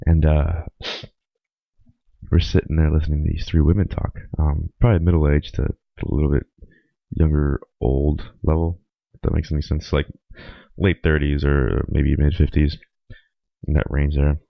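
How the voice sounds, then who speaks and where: A young man with a very deep, gravelly voice, raspy and hoarse from a week of being sick. He rambles through a solo podcast with plenty of ums and uhs. The recording is dry and a little dull, lo-fi. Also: level tone, normal speed, dry recording. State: hoarse from a cold. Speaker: podcast host. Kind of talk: casual solo podcast monologue.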